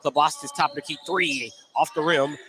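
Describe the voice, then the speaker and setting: A man's voice: a little high, steady tone, brisk, crowd noise. A man doing play-by-play for live basketball radio, describing each play in running detail. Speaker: play-by-play sports announcer. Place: indoor high school gym. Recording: noisy live gym broadcast.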